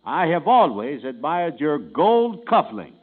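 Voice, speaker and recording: A pompous, fussy insurance salesman with a somewhat high voice that lilts up and down. He speaks with airy self-importance in the manner of 1940s radio comedy. The sound is tinny, like an old broadcast. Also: sing-song, unhurried. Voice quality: pompous, fussy, word-mangling.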